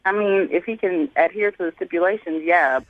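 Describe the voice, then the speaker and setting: A woman's voice: lowish voice, a little fast, no room sound. A woman caller heard over a narrow, tinny phone line. Her talk is casual and the sound is very dry. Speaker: radio show caller. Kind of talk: casual chat. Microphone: phone call.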